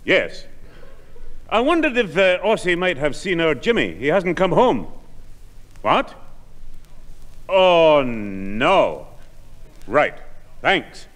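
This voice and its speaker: A man playing a calming older father, brisk and soothing, in a 1960s radio comedy. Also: fairly high pitch, full character voice. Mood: worried. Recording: old radio recording with long reverb.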